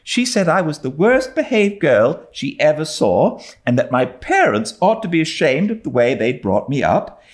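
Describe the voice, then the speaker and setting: A man voicing an excitable, loyal young girl with a dramatic, gossipy manner in an audiobook. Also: a little high, moderate pace, few pauses, pitched above the performer's own voice. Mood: excited. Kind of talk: dramatised audiobook reading of a classic novel.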